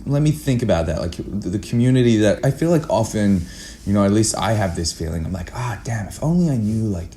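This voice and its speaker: A man's voice: lowish voice, expressive, a little fast, mellow. A young man chatting casually as a filmmaker guest, often acting out his own thoughts as quotes. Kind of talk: casual interview chat. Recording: noticeable background noise, room ambience.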